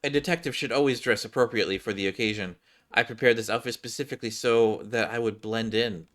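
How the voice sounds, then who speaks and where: Man acting a prim girl detective, formal, pompous, earnest, bright crisp voice, game dialogue. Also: mid-range voice, fairly even intonation, lightly acted. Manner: formal, pompous, deliberate wording. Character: earnest, formal young female detective, primly self-assured. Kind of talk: game cutscene read-aloud.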